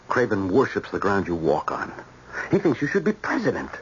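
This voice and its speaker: An actor playing a blunt, worldly brother-in-law in an old-time radio drama, earnest, emphatic and pressing. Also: male voice, lowish voice, wildly swooping pitch, moderate pace, slightly rough, heavily acted. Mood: knowing, pointed. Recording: dull, slightly noisy old radio recording.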